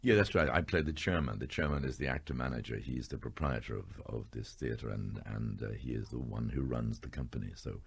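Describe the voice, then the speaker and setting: Older guy, really low husky voice, talks quick. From Ireland. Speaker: actor guest. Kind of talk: radio interview.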